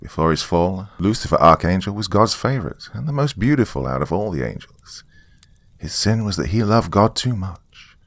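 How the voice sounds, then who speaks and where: Man voicing a tired, apologetic mother, creaky. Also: sits low, animated, moderate pace, fairly bright tone, dry recording, heavily acted. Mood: apologetic, earnest. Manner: earnest.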